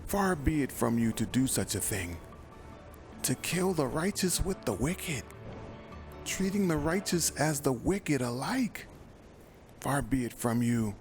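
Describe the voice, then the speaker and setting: A man's voice: a little high, a little mellow, pitched above the performer's own voice. A man voicing an old male patriarch who humbly pleads and bargains, with music behind. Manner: earnest, imploring.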